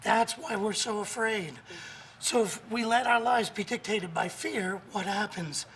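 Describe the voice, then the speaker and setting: A hoarse man with laryngitis, a guest author and coach talking in an upbeat, emphatic, motivational way on a morning show. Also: sits high, measured pace, a bit bright, a little hiss or hum.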